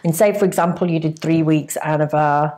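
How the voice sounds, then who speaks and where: Low-voiced woman co-host, earnest. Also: fairly even intonation, a little fast, mellow. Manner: earnest, advising. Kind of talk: casual podcast chat.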